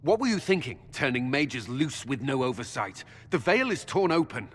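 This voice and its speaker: An actor playing a stern, wary military commander in a fantasy video game, firm and duty-bound. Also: male voice, mid-range voice, big pitch swings, moderate pace, a bit bright, slightly rough. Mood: exasperated, stern. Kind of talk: video game dialogue acting. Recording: echoey stone hall, faint background noise.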